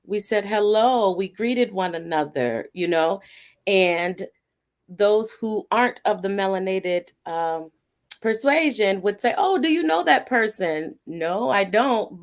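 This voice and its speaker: A woman speaking as a guest on an online panel, sharing in a heartfelt, measured way. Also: medium pitch. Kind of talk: personal reflection on a panel.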